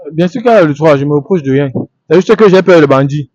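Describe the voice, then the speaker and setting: A man's voice: on the high side, fast talker, darkish tone, no echo. A young man giving casual answers in a street interview, on a slightly muffled recording.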